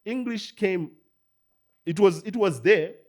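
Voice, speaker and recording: A Zambian man hosting a casual panel chat, animated and often laughing. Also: high-pitched, takes it slowly. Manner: animated, laughs often, mimics people in quotes. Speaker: podcast host.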